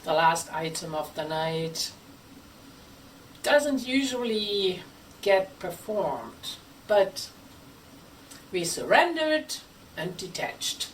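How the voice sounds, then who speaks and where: A woman telling a story in a theatrical, scripted way, with dramatic pauses and playful asides. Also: lowish voice, big pitch swings. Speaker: theatre company member telling its history. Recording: faint background noise, distant microphone.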